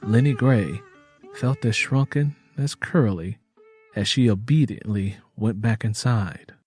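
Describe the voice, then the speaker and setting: A man's voice: on the low side, slow, a little mellow, husky, no room sound, soft background music. A man narrating a scripted audio story in a storytelling style.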